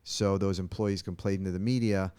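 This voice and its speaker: A man's voice: deep voice, fairly even intonation, normal speed, dark tone, clean tone. A man hosting a talk show, interviewing his guest in a casual, conversational style.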